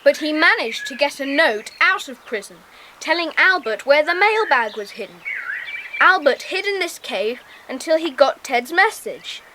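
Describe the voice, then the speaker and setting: A woman's voice: high voice, expressive, moderate pace. A woman playing an earnest, confident, bossy boy gang leader in a children's audio drama. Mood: excited, assured. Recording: noisy cassette transfer.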